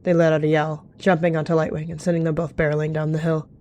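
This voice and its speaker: A man's voice: a little high, completely flat delivery, fast talker, a little mellow, slight background noise. A man hosting a roleplay, narrating the story in a dramatic storytelling manner. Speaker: host and lead roleplayer. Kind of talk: scripted-sounding story narration with character dialogue.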